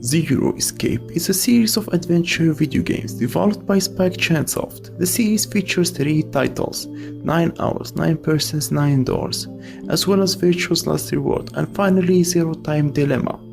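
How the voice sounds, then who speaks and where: A man with a fairly high, creaky voice narrates a scripted video essay. He slips in casual asides as host, with faint music underneath. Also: normal speed.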